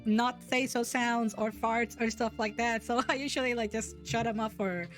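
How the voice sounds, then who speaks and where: A woman's voice: a little high, fairly even intonation, moderate pace. A woman hosting a chat show, speaking English with Spanish as her first language. She rambles, restarts her sentences and giggles often. Faint music plays underneath her voice. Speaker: podcast host. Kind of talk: casual streamed chat.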